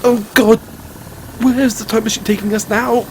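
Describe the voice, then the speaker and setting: A man playing an excitable, anxious and tender mad scientist boyfriend in an intimate audio roleplay, speaking with halting stammers. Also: sits high, a little hiss or hum. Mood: panicked. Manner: halting, stammering, breathy hesitations.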